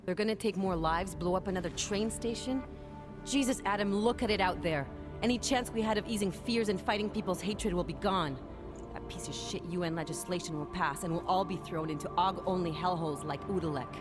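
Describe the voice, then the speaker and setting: A woman's voice: fairly low pitch, fairly quick, close to an everyday voice. An actress playing a passionate woman activist and ally in a video game, with a blunt, informal manner. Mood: worried, bitter. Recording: music behind.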